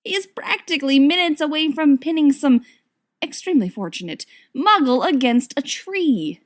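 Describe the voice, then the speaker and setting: A woman's voice: high voice, animated, normal speed. A woman narrating a written story expressively, with wry, dramatic emphasis. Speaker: audiobook reader of fan fiction.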